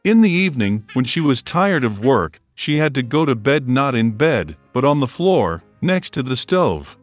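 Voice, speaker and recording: A man's voice: mid-range voice, big pitch swings, normal speed, no room sound, faint music behind. A man narrating scripted fairy tales for children as a storyteller.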